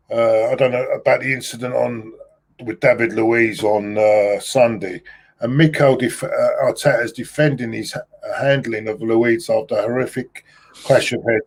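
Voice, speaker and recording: A man of middle pitch hosts an easygoing football talk show. He reads news aloud and turns questions to his guest, pausing and restarting with plenty of "um" and "uh". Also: darkish tone. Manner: halting, many fillers, asks guest questions. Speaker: host. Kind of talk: casual football chat, reading news aloud.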